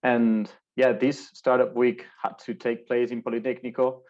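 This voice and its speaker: A man's voice: mid-range voice, level tone, normal speed, darkish tone. A young man from Spain with a Spanish accent, giving an earnest online talk and often repeating words as he searches for them. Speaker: alumni speaker. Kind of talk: virtual presentation. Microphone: video call. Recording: dull lo-fi video call audio.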